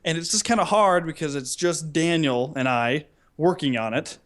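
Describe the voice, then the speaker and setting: A man's voice: fairly high pitch, moderate pace, a bit bright. A man co-hosting a podcast in casual comedic banter, talking in a jokey, rambling way. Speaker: podcast co-host.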